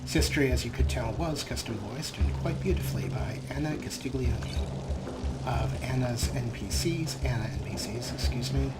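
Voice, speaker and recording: A man's voice: mid-range voice, normal speed. A man hosting a let's play, talking casually with wry, chatty asides about the game. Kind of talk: casual gameplay commentary. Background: game music.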